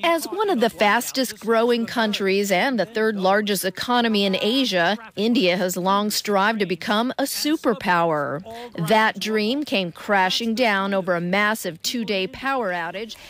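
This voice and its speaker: A woman's voice: medium pitch, measured pace, fairly bright tone. Woman reporter narrating scripted news.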